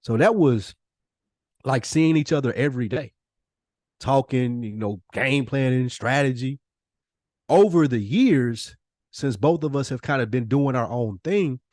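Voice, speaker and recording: A man's voice: mid-range voice, darkish tone, dry recording, studio quality. An American man co-hosting a podcast, talking candidly and reflectively about himself in casual conversation. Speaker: podcast co-host.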